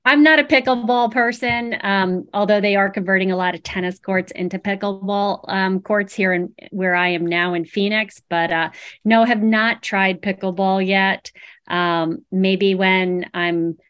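Female guest, East Coast accent, relaxed and a bit halting. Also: medium pitch, clean tone. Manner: hesitant, lots of ums, laughs easily. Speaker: registered dietitian guest. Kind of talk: casual interview chat.

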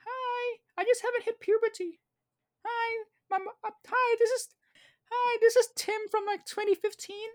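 A man putting on a squeaky falsetto as a confused boy who has not hit puberty. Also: little pitch movement, moderate pace. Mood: bewildered. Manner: goofy falsetto, bewildered questions.

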